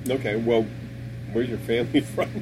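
A man's voice: medium pitch, fairly even intonation, moderate pace, background noise. A man hosting a casual group chat, bantering in a joking, teasing manner. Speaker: podcast host.

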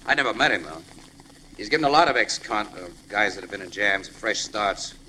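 An actor playing a breezy, wisecracking American newspaper crime photographer in a 1940s radio drama. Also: male voice, on the low side, animated, fairly quick, sharp tone. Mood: easygoing, knowing. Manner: wisecracking, slangy, easygoing. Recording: noisy old radio broadcast recording.

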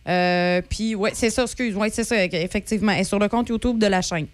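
A woman's voice: mid-range voice, brisk. A woman with a Québécois accent co-hosting a casual radio chat, joking and teasing her colleagues. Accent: Québécois French. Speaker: radio co-host.

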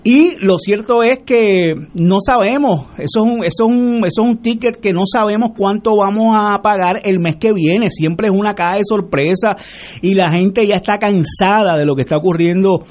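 High-voiced Puerto Rican salesman. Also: normal speed, darkish tone. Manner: persuasive, filler-heavy with "eh" and "¿verdad?". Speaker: solar company representative, guest. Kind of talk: radio interview sales pitch.

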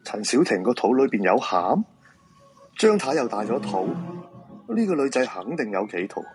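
An actor with a Hong Kong Cantonese accent playing a reluctant, brusque feng shui fortune-teller in a radio drama. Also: male voice, medium pitch, big pitch swings, normal speed, over music, natural voice. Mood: brusque, suspicious. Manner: brusque, protesting, dismissive.

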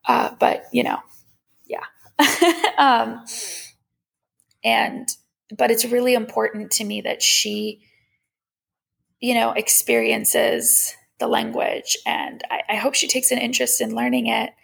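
Creaky-voiced young woman, slightly high and husky. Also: fairly even intonation, takes it slowly, long pauses, fairly bright tone. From Iran. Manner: candid, reflective, conversational. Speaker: podcast host. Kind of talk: casual personal monologue.

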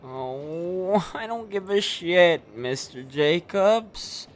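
A man's voice: sits high, lively intonation, very slow, exaggerated voice. A man playing a nervous, apologetic killer in a darkly comic radio play, stammering and rambling with plenty of swearing. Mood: desperate. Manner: stammering, hesitant, rambling, foul-mouthed.

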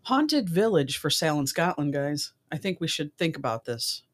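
A woman's voice: sits low, sing-song, normal speed. A woman hosting a casual talk show, reading out odd news stories and dropping in informal asides.

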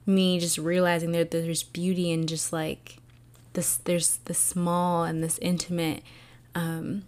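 A young woman hosting her own podcast, talking alone in a soft, soothing and earnest way, with many fillers. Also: fairly low pitch. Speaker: podcast host. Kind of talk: reflective solo podcast talk.

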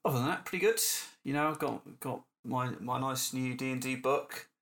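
A man's voice: mid-range voice, normal speed, fairly bright tone. A man in casual podcast conversation as a guest game master, informal and a bit hesitant in his delivery.

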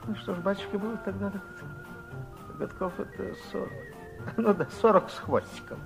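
A man's voice: sits high, steady tone, moderate pace, gravelly, music in the background. An actor playing a talkative, folksy working-class man in his sixties who likes to complain, in a 1960s Soviet radio play. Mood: reminiscing. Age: older. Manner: folksy, complaining, rambling old man's talk. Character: garrulous 66-year-old working-class man, ailing, folksy, grateful.

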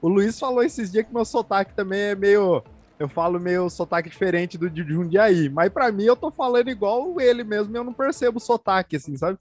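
A man's voice: high-pitched, brisk, darkish tone. A man with an interior São Paulo accent from the Sorocaba area, hosting a podcast in a laid-back, slangy, teasing way. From Sorocaba region, São Paulo state. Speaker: podcast host. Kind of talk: casual chat.

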